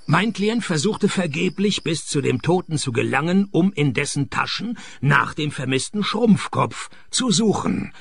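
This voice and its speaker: An actor playing a laconic private detective in a radio play, narrating and talking in a deadpan, wry way. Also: male voice, a little high, a little slow, vocal fry, slightly rough, no room sound, studio quality, heavily acted. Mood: dry, laconic.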